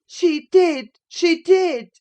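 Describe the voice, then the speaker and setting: A woman's voice: a little high, slow, darkish tone, pitched above the performer's own voice. A woman performs a sickly young boy in a classic novel reading. He is pettish, spiteful and full of self-pity, whining in a raised voice. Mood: taunting, spiteful.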